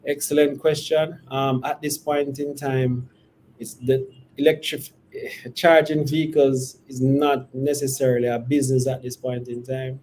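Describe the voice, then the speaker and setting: A man, speaking as a company executive answering investor questions in a measured, hesitant, careful way. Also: medium pitch, low-quality recording. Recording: steady background noise.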